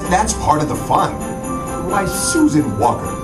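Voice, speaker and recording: An animated, friendly gentleman played by a man carries across an echoey room under loud music. His earnest delivery is stagy, in the manner of a puppet musical. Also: fairly high pitch, a little slow, distant mic. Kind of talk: theatrical puppet musical acting.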